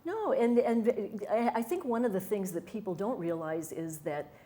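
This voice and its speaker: A woman's voice: mid-range voice, lively intonation, normal speed, warm tone. A middle-aged woman from Wisconsin, a wellness coach talking earnestly and enthusiastically as an interview guest. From Wisconsin, USA. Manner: earnest, enthusiastic, with fillers and restarts. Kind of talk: conversational interview.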